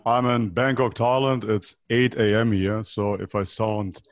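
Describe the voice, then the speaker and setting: A man's voice: lowish voice, fairly even intonation, a little slow, no echo. A man of about thirty with a foreign European accent, explaining his own experience as a podcast guest and often checking in with a rhetorical question. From North Macedonia, raised in Germany. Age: around 30. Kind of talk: conversational interview, personal account. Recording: dull, band-limited online call.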